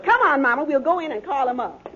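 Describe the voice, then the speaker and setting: A woman's voice: sits high, expressive, fast, pushed and bright, a little hiss or hum. An actress in an old radio comedy playing a practical, no-nonsense wife who scolds and questions her husband. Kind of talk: 1940s-50s radio comedy acting. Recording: muffled old radio, studio audience.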